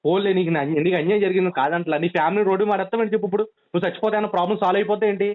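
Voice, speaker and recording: A man with a coastal Andhra Telugu accent speaks at a racing pace over a thin phone line. His voice sits high for a man, and he keeps urging and scolding insistently, repeating his points. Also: steady tone, racing. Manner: insistent, admonishing, repeats himself. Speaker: friend on a phone call. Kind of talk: urgent, pleading phone conversation. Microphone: telephone. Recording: tinny narrowband phone call.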